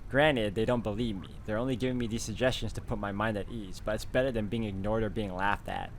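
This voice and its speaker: A man's voice: lowish voice, steady tone, fast, slight background noise. A man hosting a game walkthrough, reading story text aloud and commenting casually.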